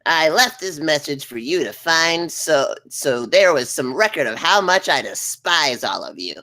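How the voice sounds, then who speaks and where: A woman's voice: low voice, lively intonation, a little slow, bright, forceful tone, exaggerated voice. A woman playing a spiteful, gloating male villain who becomes a bug mutant, taunting theatrically in a comedy table read.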